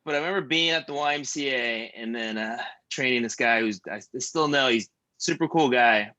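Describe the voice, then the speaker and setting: A man in his thirties leading a stretch class, joking between calm breathing cues. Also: medium pitch, a little fast. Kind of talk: guided stretching class with casual chat.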